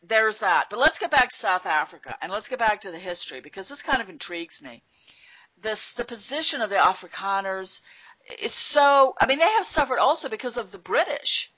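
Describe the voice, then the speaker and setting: A woman's voice: mid-range voice, animated, brisk, bright, forceful tone. A woman hosting a radio interview, talking in a conversational way and thinking aloud. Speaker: radio host. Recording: dull lo-fi radio sound.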